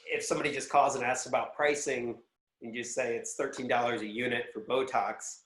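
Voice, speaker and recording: Guy with average pitched voice explaining sales stuff, chatty consultant type, echoey room sound. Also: steady tone, brisk. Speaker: guest, sales executive and consultant. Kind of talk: conversational business advice. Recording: roomy, echoing voice pickup.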